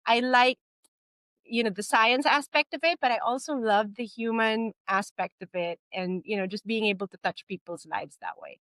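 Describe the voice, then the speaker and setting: A woman's voice: fairly high pitch, normal speed, fairly bright tone, no echo. A middle-aged woman from the Philippines, speaking as a medical podcast guest in a conversational storytelling style.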